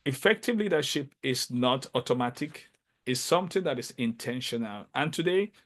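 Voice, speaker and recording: A man's voice: medium pitch, fairly bright tone. Emphatic male podcast host. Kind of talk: enthusiastic podcast interview.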